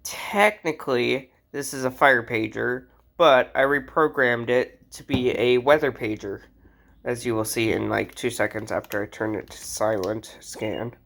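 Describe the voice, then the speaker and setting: A man's voice: mid-range voice, a little slow, gravelly, a bit bright. A man with a mid-range voice hosts a casual hobby show-and-tell. He talks in an informal, rambling way, like someone chatting to his regular viewers. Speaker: host showing a radio collection.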